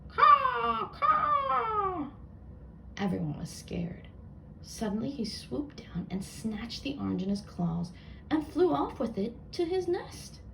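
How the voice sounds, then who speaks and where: Lady reading a kids story, bit of fry in the voice, slight noise. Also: on the high side, sing-song, measured pace, dark tone, rough recording. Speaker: storyteller reading a children's book aloud. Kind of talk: expressive read-aloud narration.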